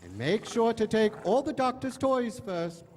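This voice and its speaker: A man's voice: extremely high pitch, sing-song, a little slow, slight background noise, exaggerated voice. A man playing a flamboyant, gloating monster disguised as a professor, droll and mock-polite, in a live audio drama. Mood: gleeful menace. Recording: echoey hall stage recording.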